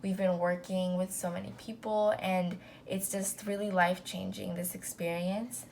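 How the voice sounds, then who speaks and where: A woman's voice: fairly low pitch, flat delivery, normal speed, gravelly, a little mellow, slight background noise. A young woman talking casually as a business owner to a new intern, upbeat and full of fillers. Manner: chatty, upbeat, full of ums and "you know".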